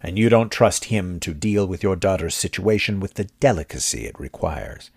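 A wry, plain-spoken army captain, voiced by a male narrator, with a low, husky tone. He speaks in a measured, dry manner, and the recording is dry and close. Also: expressive, darkish tone, no room sound, heavily acted. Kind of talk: audiobook character voice.

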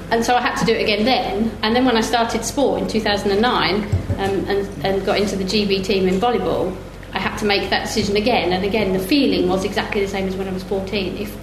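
Middle-aged female panellist, wry and frank storyteller, roomy sound with noticeable noise. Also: mid-range voice. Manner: candid, wry. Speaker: panellist, team sports athlete. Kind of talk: personal storytelling at a panel talk. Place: live festival panel in a roomy venue. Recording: echoey room with clear background noise.

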